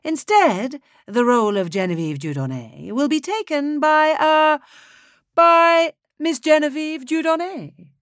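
A woman voicing a male conductor with a high, mellifluous voice, making formal announcements on stage in an audiobook. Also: animated, measured pace, a bit bright, dry recording, clean studio sound. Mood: flustered. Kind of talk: audiobook character voice.

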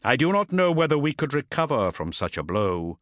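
A man voicing a stern, resolute warrior captain in an audiobook, speaking formally with measured, emphatic oratory. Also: medium pitch, very animated, fairly bright tone, no room sound, studio quality, voice pushed up. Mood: grave, resolute.

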